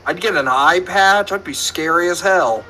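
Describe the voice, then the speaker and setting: A man competing in a casual online trivia show, trading joking, animated banter. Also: sits high, normal speed, faint music behind, low-quality recording. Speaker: trivia contestant.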